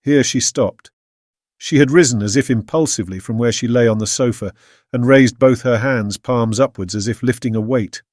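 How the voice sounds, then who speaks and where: A man with a British accent playing an earnest Victorian gentleman, narrating his diary in a measured, solemn way. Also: medium pitch, no room sound, studio quality, close to an everyday voice. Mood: solemn, anxious. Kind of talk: scripted dramatic audiobook narration.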